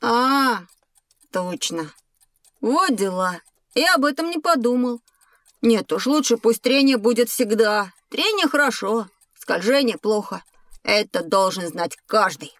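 A woman playing a curious, boastful schoolboy with an expressive, impulsive manner in an educational audio drama. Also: fairly high pitch, unhurried, dry recording. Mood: chastened. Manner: expressive, exclamatory, boyish.

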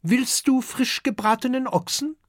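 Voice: medium pitch, a little slow, dry recording, clean studio sound, voice pushed up, natural voice. A storybook narrator voicing a bossy but soothing female dragon, a mother and wife, in a scolding, no-nonsense manner. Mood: hospitable.

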